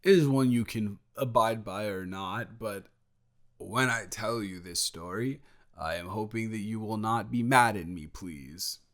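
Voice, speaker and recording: A man acts out a young male roommate in an intimate audio roleplay. His voice sits a bit low and moves very slowly. He is sheepish and evasive, rambling and restarting as he skirts around what he has to confess. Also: fairly bright tone. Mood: nervous, hedging. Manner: halting, rambling, trailing off into restarts.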